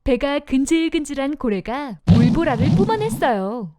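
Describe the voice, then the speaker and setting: A woman narrates a children's fairy tale in a high, animated voice. The delivery is scripted and expressive, in a close, dry recording. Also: normal speed, dry recording. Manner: expressive storytelling for young children. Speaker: storybook narrator.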